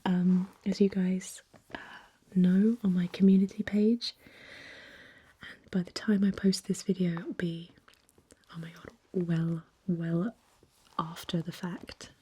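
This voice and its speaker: A young woman speaking very softly in a gentle, halting ASMR makeup chat, her whispery voice slightly croaky and hoarse after an illness. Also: fairly low pitch, little pitch movement. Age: young adult. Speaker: ASMR vlogger.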